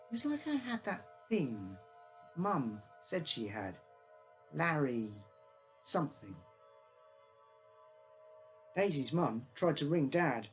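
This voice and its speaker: British man reading a story aloud. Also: on the high side. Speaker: author reading own short story. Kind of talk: scripted story narration, first person as a child.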